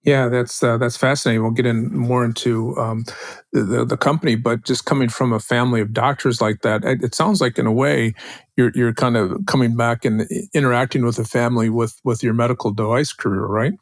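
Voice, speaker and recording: A man's voice: medium pitch, fairly even intonation, brisk, no room sound. A male podcast host introducing a guest and asking friendly, upbeat questions with fillers. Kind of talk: podcast interview.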